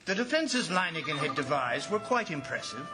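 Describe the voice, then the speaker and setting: An actor playing an anxious, fascinated commissioner who narrates a jungle ordeal in old-time radio drama style, dramatic and halting. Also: male voice, a little high, big pitch swings, over loud music. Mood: grave narration. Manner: dramatic, hesitant and halting under worry.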